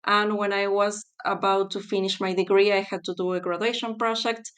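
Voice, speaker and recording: Woman on a podcast, smooth clear voice, thinks out loud with lots of ums. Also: medium pitch, flat delivery. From Argentina. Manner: thoughtful, with fillers and pauses. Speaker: guest, textile specialist. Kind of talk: podcast interview.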